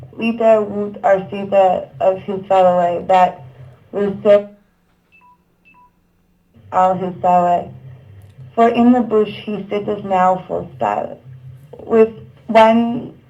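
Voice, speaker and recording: A woman narrating a puppet show, reciting old verse in a measured, declamatory manner. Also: mid-range voice, mellow, highs cut off.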